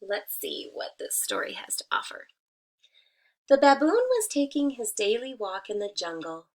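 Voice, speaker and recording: A woman's voice: a little high, huge pitch swings, normal speed. A woman speaking as a teacher in a read-aloud lesson for young children, addressing them directly and explaining things simply.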